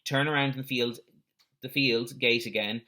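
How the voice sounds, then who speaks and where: A man with a bright, forceful voice and a middling pitch reads a children's adventure story aloud. He sounds like a teacher at storytime, expressive and given to small explanatory asides. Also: moderate pace.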